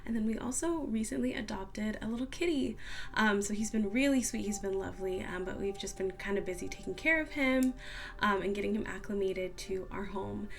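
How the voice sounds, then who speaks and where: A woman reading tarot cards in a casual, upbeat monologue, a little scratchy as she gets over a cold, with many soft "um"s. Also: medium pitch, brisk, vocal fry. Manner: soft, upbeat, lots of "um" and "kind of". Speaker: tarot card reader.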